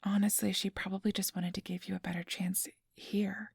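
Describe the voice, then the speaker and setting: A woman playing a kindly, apologetic registration clerk in an ASMR roleplay, speaking softly and often in a whisper with a gentle, reassuring manner. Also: mid-range voice, little pitch movement, fairly quick, no room sound, studio quality. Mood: gentle, careful. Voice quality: soft, breathy, often whispered. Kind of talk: ASMR roleplay, soft whispery speech to the listener.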